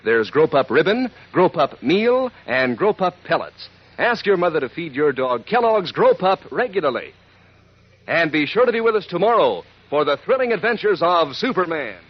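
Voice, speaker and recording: An American man works as a folksy radio announcer of the 1940s. His raspy, highish voice swings with peppy emphasis as he pitches to youngsters. The recording is dull and old. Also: big pitch swings, normal speed. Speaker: commercial announcer.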